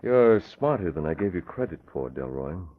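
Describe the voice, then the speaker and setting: An actor playing a cool, resolute masked vigilante in an old radio crime drama. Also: male voice, low voice, sing-song, moderate pace. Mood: cool, taunting. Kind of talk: 1940s radio acting. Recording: muffled, tinny old radio recording, faint hiss.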